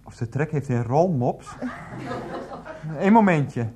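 A man's voice: on the high side, animated, takes it slowly, warm tone, slight background noise. An actor playing a flustered, placating, henpecked husband and mother's boy on the telephone in a radio comedy drama.

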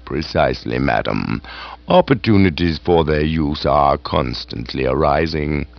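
A man reading aloud voices a calm, deferential male valet who speaks in a measured, formal way. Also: extremely low pitch, gravelly, pitched below the performer's own voice, exaggerated voice. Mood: composed. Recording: dull, dry recording.

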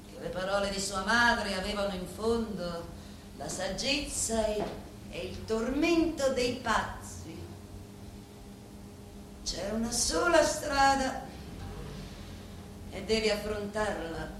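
An actress playing a bitter, scheming woman of a mafia family in a stage drama, with a Sicilian accent and a deliberate, theatrical delivery that swells suddenly. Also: mid-range voice, animated. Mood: solemn, insinuating. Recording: very echoey room, faint background noise.

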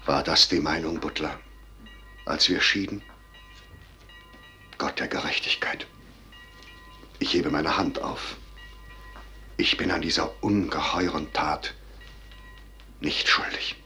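An actor playing a grave, reproachful noble general in a classical radio drama, declaiming verse in a solemn, weighty manner. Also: male voice, very deep voice, fairly even intonation, slow, long pauses, bright, forceful tone, faint music behind, exaggerated voice. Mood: shocked, reproachful.

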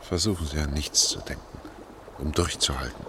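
An actor playing a steady middle-aged engineer and family man in a German radio play, speaking in a deliberate, soothing, restrained way. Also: a bass voice. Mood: calm, caring. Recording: clear noise, watery ambience behind.

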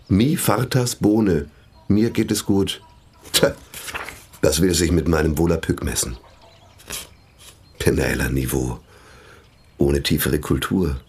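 A scornful, indignant priest in a radio drama. His low voice swings widely in pitch as he declaims with theatrical pomp. The proud man sounds slightly husky. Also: heavily acted. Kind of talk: German radio play acting.

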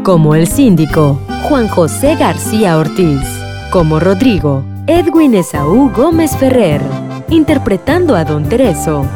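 A woman's voice: mid-range voice, sing-song, measured pace, over music. A woman reading a scripted announcement as a radio drama announcer.

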